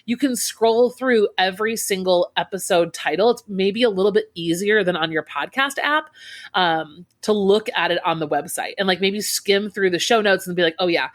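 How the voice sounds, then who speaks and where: A woman's voice: medium pitch, normal speed. A woman co-hosting a teaching podcast, friendly and encouraging, often restarting her sentences. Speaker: podcast co-host. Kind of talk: casual chat.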